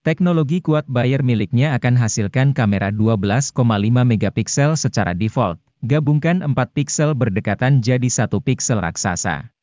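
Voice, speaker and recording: A man's voice: mid-range voice, animated, normal speed, a little mellow, no echo, muffled recording. A man reads a formal, informative scripted voice-over for a gadget review. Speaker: narrator of gadget review videos. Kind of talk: scripted narration.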